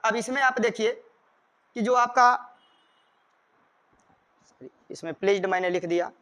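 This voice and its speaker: A man's voice: high voice, measured pace, a bit bright. A man with an Indian accent giving a grammar lesson as a teacher, explaining rules step by step and repeating them.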